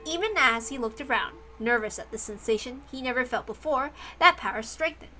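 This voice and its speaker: A woman narrates a story from a script in a bright, slightly high voice. Soft music plays behind her reading. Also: expressive, a little fast. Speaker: reader.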